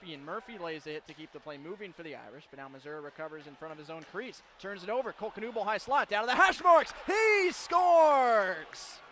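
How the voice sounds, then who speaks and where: A man calling hockey on radio in a high, bright, forceful voice. He rattles off quick, clipped calls of every pass and shot and bursts into shouted goal calls, with faint noise in the background. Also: animated. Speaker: play-by-play announcer.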